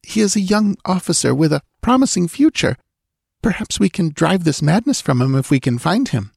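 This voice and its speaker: A man's voice: sits high, sing-song, moderate pace, vocal fry, a little mellow, husky, dry recording, clean studio sound, voice pushed up, full character voice. A man putting on a frightened young dancing girl. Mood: pleading. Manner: pleading, breathless.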